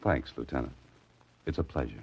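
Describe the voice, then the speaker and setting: An actor playing a quick-witted, cool troubleshooter in a 1940s radio drama, speaking in a wry, clipped, assured way. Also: male voice, extremely low pitch, expressive, vocal fry, husky, heavily acted. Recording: old radio recording, loud organ music.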